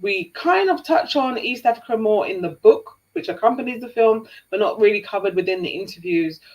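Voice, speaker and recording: A woman from Jamaica co-hosting a live Q&A stream, reading out viewer questions in a brisk, upbeat way. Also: fairly high pitch, expressive, a little mellow, poor audio. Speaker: co-host.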